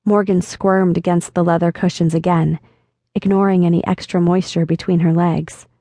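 A woman audiobook narrator reading a novel in a steady, intimate storytelling voice. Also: lowish voice, moderate pace, no echo. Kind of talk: scripted narration.

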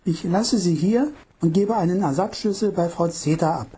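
A man's voice: high voice, moderate pace, dark tone, breathy edge, pitched above the performer's own voice. A man voicing a young wife who is insistent and hesitant by turns, in an audiobook reading. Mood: coaxing. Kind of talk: audiobook narration.

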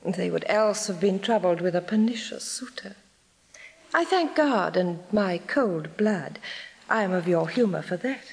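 An actress playing a sharp-tongued, scornful young lady in articulate Shakespearean stage style. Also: mid-range voice, lively intonation, a little slow, gravelly, in a large hall. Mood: scornful, teasing. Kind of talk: theatrical Shakespearean stage acting.

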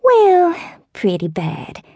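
A woman voicing a fussy elderly neighbor with a Southern accent, who talks in a slow, meandering, wavering falsetto. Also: huge pitch swings, warm tone, no echo, full character voice. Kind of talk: audiobook character voice.

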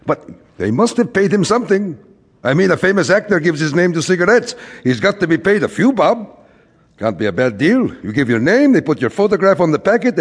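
A man's voice: a little high, slightly rough, full character voice. An actor playing a wistful, middle-aged immigrant father who philosophizes and repeats himself in an audio drama. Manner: rhetorical, repetitive, philosophizing. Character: wistful, rambling immigrant father, a frustrated commercial traveler. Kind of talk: theatrical audio drama acting. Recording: reverberant hall stage recording.

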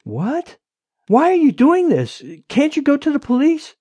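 An anxious wife in an audiobook thriller, performed by a male narrator, pitched well up and swinging widely. She pleads and stammers, worried for her husband. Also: very animated, measured pace, warm tone, husky, dry recording, heavily acted. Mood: upset, pleading.

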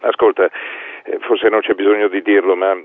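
An actor playing a gruff, exasperated city official on a muffled phone line in an Italian audio drama, dry and sardonic. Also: male voice, fairly low pitch, flat delivery, fairly quick, dry recording. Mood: gruff, insinuating.

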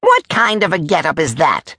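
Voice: medium pitch, wildly swooping pitch, moderate pace, creaky, sharp tone, dry recording, studio quality, voice pushed up, heavily acted. A performer voicing a blustering, easily enraged military major who barks and roars in a storybook tale. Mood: irritated, suspicious. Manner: barking, roaring, threatening.

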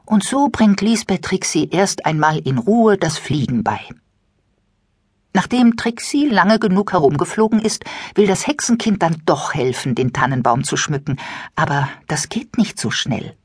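A woman narrating a children's audiobook with expressive, scripted storytelling. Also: on the low side, unhurried, husky, dry recording, studio quality.

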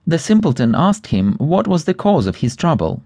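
A man's voice: fairly high pitch, sing-song, moderate pace, darkish tone, dry recording, studio quality. A man narrating a fairy tale audiobook in a scripted storytelling style.

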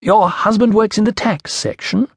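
A man's voice: high-pitched, steady tone, moderate pace, slightly rough, dry recording, voice pushed up. A man voicing an inexperienced young Roman official in an audiobook, hesitant, polite and awkwardly bureaucratic. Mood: awkward, dismissive.

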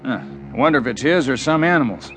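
An actor playing a steady, thoughtful ranch foreman in a western radio play, with a laconic, folksy American Western accent. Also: medium pitch, fast, lightly acted. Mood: concerned, decisive.

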